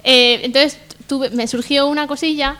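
Bright, high-voiced woman. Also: fairly even intonation, moderate pace. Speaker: radio show contributor. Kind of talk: casual comedy radio chat. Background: faint music.

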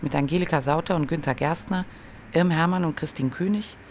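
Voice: medium pitch, brisk, slight background noise. An announcer reading scripted radio drama credits as a plain list of title and names.

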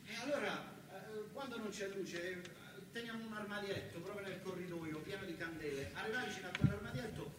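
A man's voice: high voice, brisk, hardly any pauses, a crowd in the background. A man with a southern Italian accent tells a story as a theatrical monologue, emphatic and repetitive, slipping into dialect. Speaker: stage storyteller. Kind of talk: theatrical monologue in dialect-tinged speech, with folk song. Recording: echoey, distant stage recording with music.

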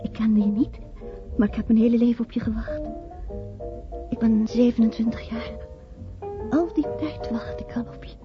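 A woman's voice: fairly high pitch, normal speed, pauses often, close to an everyday voice. Woman playing dreamy lovestruck girl in her twenties, dull old radio play, music playing. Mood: happy, lovestruck. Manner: yearning, rapt. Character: dreamy, lovestruck 27-year-old variety dancer. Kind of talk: romantic radio drama acting. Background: loud music.

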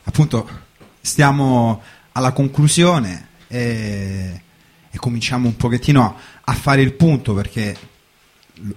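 Guy talking at a discussion, medium pitch, halting, keeps searching for words. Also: darkish tone. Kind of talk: informal panel discussion.

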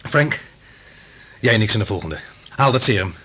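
An actor playing an ambitious, excitable scientist in a Dutch radio drama, impatient and insistent. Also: male voice, fairly low pitch, moderate pace, slightly rough. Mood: incredulous, insistent.

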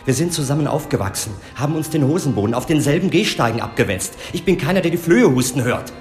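A man's voice: fairly high pitch, animated, fast, a little mellow, lightly acted. Guy playing a frightened bitter funnyman, weary and emphatic, radio drama, echoey room. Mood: bitter, indignant. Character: bitter, frightened male comedian, old friend of detective. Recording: roomy echo, faint music.

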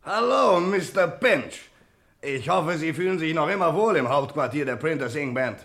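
An actor playing a pompous, theatrical crime writer who runs a gang, grandiose in manner, in a radio crime play. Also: male voice, fairly high pitch, animated, normal speed, creaky, a bit bright, soft background music. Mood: smug.

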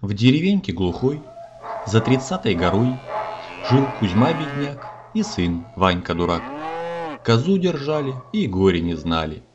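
A man's voice: lowish voice, sing-song, a little slow. A man narrating a comic fairy tale in folksy, theatrical rhyme. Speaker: storyteller, narrator. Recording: slightly dull audio, music behind.

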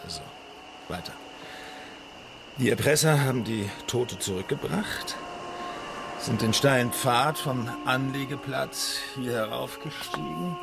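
Blunt investigator, gravelly mid-pitched voice, needling questions, businesslike, radio crime play, music underneath. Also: male voice, unhurried, pauses often. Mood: businesslike, commanding. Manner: probing, insistent questioning. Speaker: investigator questioning his client. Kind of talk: German radio crime drama acting.

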